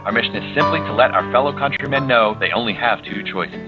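A man reading a scripted commercial as an earnest sales pitch. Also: quick pace, highs cut off. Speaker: ad announcer. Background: guitar music.

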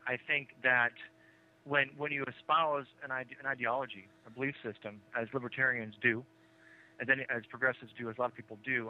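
A man from Wisconsin, an author, speaks as a guest on a talk radio show. He talks quickly and earnestly with occasional stammers, and his voice comes through a tinny, phone-like line. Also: mid-range voice.

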